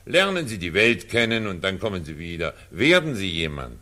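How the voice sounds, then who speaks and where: Pompous, wildly swooping cabaret director. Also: male voice, deep voice, sing-song, moderate pace, sharp tone, heavily acted. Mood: patronizing. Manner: condescending, theatrical, patronizing. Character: pompous, evasive middle-aged male cabaret director. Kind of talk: 1940s German radio drama acting. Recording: 1940s radio drama recording.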